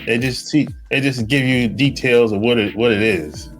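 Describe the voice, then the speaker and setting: A man hosting an interview podcast, asking questions in an unhurried, conversational way. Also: medium pitch, faint music behind. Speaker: podcast host.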